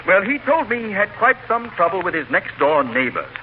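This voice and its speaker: An actor playing a pompous, formal, easily flustered mayor in 1940s radio comedy, with wordy delivery. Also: male voice, high-pitched, very animated, a little fast. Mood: businesslike. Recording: tinny, hissy 1940s radio recording.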